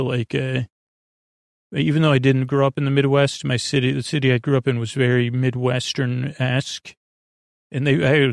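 A middle-aged man with a gravelly voice hosting a bedtime podcast, talking in a halting, meandering monologue that keeps interrupting itself. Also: medium pitch, no echo, studio quality. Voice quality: gravelly, creaky. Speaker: podcast host.

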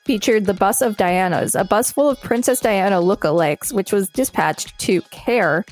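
A woman's voice: medium pitch, moderate pace, soft background music. A woman co-hosting a podcast, reading articles aloud and chatting casually between entries. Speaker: podcast co-host.

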